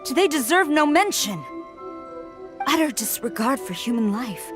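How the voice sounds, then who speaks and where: A woman's voice: sits high, expressive, normal speed, music in the background. A woman playing a stern, proud, dutiful young military commander in an anime game, formal and commanding. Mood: troubled indignation.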